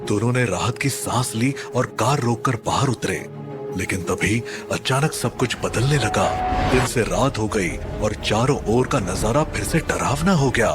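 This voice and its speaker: Deep animated male horror narrator, music behind. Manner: dramatic, suspenseful. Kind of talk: scripted horror story narration.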